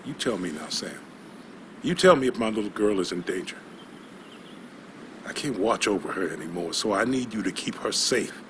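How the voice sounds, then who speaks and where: A man playing an older, stubborn, protective father in a video game drama, talking in a gruff, folksy, grumbling way. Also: fairly low pitch, lively intonation, moderate pace, pauses often, a little hiss or hum, lightly acted. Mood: pleading. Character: stubborn, protective old father who cannot walk.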